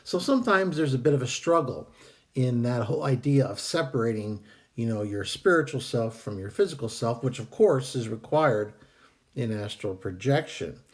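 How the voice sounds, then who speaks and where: Hoarse man explaining calmly. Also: medium pitch. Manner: relaxed, halting, frequent ums and uhs. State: hoarse from a cold. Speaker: astral projection teacher. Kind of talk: casual instructional talk.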